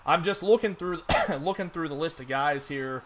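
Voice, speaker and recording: A man's voice: fairly high pitch, normal speed, fairly bright tone. A man hosting a casual fantasy football podcast, rambling with lots of fillers and restarts. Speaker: podcast host. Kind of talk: casual sports podcast chat.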